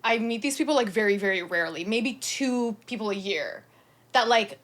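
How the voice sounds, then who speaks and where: Young woman podcast guest, talkative and easygoing, mid-pitched. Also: expressive, moderate pace, a bit bright. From Persian heritage, fluent in Farsi. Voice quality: low-pitched singing voice. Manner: chatty, heavy use of "like", laughs often. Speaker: guest singer-songwriter. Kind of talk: casual podcast chat.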